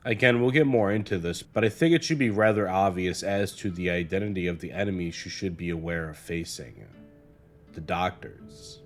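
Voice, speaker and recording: Low-voiced man narrating over guitar music. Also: normal speed. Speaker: video essayist, narrator. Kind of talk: scripted analytical narration of a horror series.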